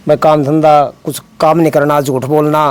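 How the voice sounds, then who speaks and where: A man's voice: fairly high pitch, flat delivery, normal speed, clear, resonant tone. A man in his thirties with a Haryanvi accent, an interview guest giving a conversational personal account and padding it with a repeated filler phrase.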